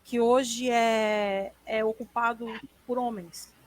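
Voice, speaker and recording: A woman's voice: a little high, steady tone, takes it slowly. A woman moderating an online panel, reading out questions politely and a little hesitantly.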